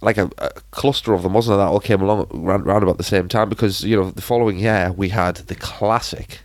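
A man with a fairly low voice, recorded dry and close. He chats casually as a podcast co-host, often repeating himself for emphasis in a bemused way. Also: brisk, no echo. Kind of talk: casual film discussion.

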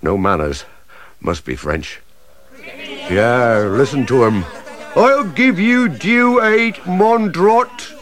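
A man's voice: fairly low pitch, animated, slow pace, pauses often. A man putting on a pompous old oak tree. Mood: grumbling. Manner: blustering, grumbling, swings from lofty to panicky. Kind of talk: storybook character monologue.

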